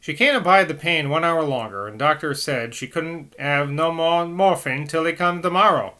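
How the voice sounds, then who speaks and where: A man's voice: fairly high pitch, moderate pace, bright, forceful tone. A man voicing an agitated working-class woman pleading at a doorstep.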